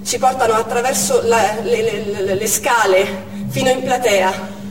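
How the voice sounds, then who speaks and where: A woman's voice: fairly high pitch, fairly even intonation, a little slow, lightly acted. Woman actor, worried determined doctor mother, stage drama narration, recorded from afar, long hall reverb, noticeable noise. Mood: tense. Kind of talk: dramatic stage monologue in present tense. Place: on stage in a theatre. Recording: echoey theatre hall from far off, noisy.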